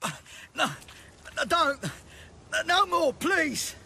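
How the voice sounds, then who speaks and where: An actor playing a shifty, uneasy street looter and informant in a fantasy video game. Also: male voice, very high voice, animated, slow pace, gravelly, bright, forceful tone, slight background noise, exaggerated voice. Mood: panicked, pleading.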